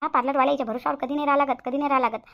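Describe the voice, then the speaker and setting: Woman acting fast, high-pitched blunt sister-in-law. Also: steady tone. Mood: fussing. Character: blunt, quarrelsome young sister-in-law at a family engagement. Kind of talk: Marathi family comedy cartoon dialogue. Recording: slightly dull lo-fi audio.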